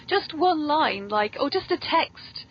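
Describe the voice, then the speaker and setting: A woman's voice: high voice, lively intonation, slow. A British woman hosting a podcast, chatting casually and emphatically as she interviews a guest about films. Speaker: podcast host. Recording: dull lo-fi audio with clear noise.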